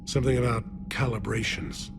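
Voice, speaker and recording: A man voicing a grave, courteous alien military leader in a video game, measured and formal. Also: mid-range voice, gravelly, background noise, close to an everyday voice. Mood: grave, polite.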